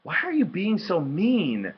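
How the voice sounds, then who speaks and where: A practical young girl from a storybook, played by a man, exasperated with her brother and insistent in a matter-of-fact way. The voice is husky and moves freely up and down in pitch. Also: huge pitch swings, moderate pace, highs cut off. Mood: hurt, annoyed.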